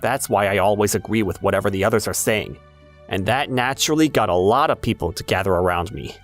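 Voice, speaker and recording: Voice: deep voice, brisk, faint music behind, close to an everyday voice. A performer playing an airheaded, easygoing teenage schoolboy, narrating in a self-deprecating anime dub style. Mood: mild, resigned.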